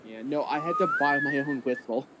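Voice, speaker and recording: A man player in casual chat, halting and restarting his sentences. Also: mid-range voice, steady tone, very noisy.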